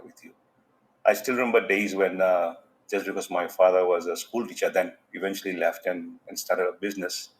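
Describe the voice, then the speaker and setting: A man's voice: deep voice, poor audio. A man from Fiji, a podcast guest, talking casually and rambling with lots of "you know" fillers. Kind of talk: casual interview talk.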